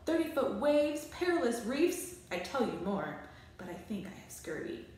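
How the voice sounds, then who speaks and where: A woman's voice: fairly high pitch, normal speed. A woman voicing a self-important, scornful young boy detective from a storybook, grandly dramatic. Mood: grandiose. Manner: pompous, deadpan grandiosity. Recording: very echoey small bare room.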